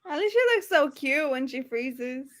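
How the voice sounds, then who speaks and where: A high-voiced woman chats on a book livestream as co-host. She is excitable and gushing, leaning hard on her words in a very informal way. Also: normal speed. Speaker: co-host of book discussion live show. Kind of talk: casual livestream book chat. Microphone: video call over internet.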